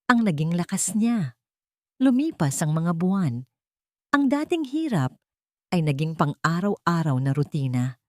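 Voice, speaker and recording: A woman's voice: lowish voice, a little mellow, dry recording, studio quality. A woman with a Filipino accent reading a scripted, dramatic story as its narrator.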